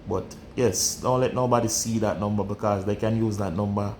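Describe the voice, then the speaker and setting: A man's voice: on the low side, fairly even intonation, moderate pace, a little mellow, a little hiss or hum. A young Jamaican man with a Jamaican accent, talking candidly and bluntly in a casual vlog and often repeating his phrases. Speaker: vlogger. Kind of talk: casual personal storytelling.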